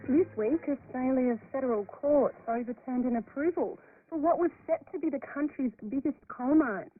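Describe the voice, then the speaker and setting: A woman's voice: high-pitched, moderate pace, soft background music. A woman hosting a radio magazine, reading a scripted introduction over a shortwave broadcast. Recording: thin, narrow-band shortwave radio.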